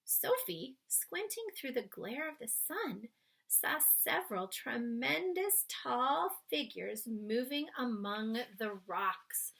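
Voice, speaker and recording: A woman's voice: on the high side, bright, forceful tone. A woman narrating a children's storybook as a read-aloud. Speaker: storybook reader.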